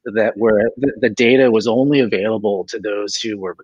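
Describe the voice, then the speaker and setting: A man's voice: on the low side, fairly even intonation, normal speed, darkish tone, slightly rough, no echo, dull audio. A man speaking as an executive guest in an interview, explaining things conversationally and often checking in with "right?". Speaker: tech sales strategy executive, interview guest.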